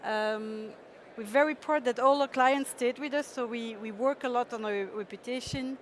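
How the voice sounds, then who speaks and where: A woman pitches her company on stage in a fairly high voice. She speaks haltingly and fills pauses with "uh" and "um". Faint traffic hums behind her. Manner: hesitant, frequent fillers, laughs easily. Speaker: company presenter. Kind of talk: startup pitch presentation.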